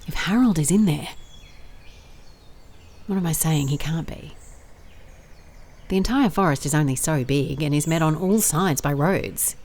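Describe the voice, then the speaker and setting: An actress playing a driven, awkward private investigator in an audio drama, speaking in a formal, wordy, dryly self-deprecating way. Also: female voice, low-pitched, a little slow, long pauses, a little mellow. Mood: uneasy, musing.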